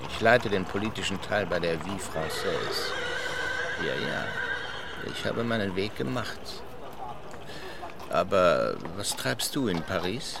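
An actor playing a self-assured, patronizing Parisian journalist in a German radio play, speaking with worldly, instructing ease. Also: male voice, low-pitched, animated, slow, vocal fry, long pauses, very noisy, full character voice. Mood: complaining, self-satisfied. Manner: worldly, instructing, with small hesitations.

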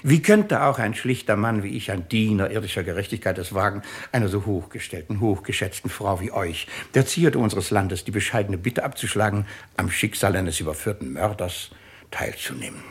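A man playing a stern, sardonic royal judge in a 1950s German radio drama, speaking with pompous, ironic, forceful declamation. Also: on the low side, expressive, moderate pace, husky. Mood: mock courtesy.

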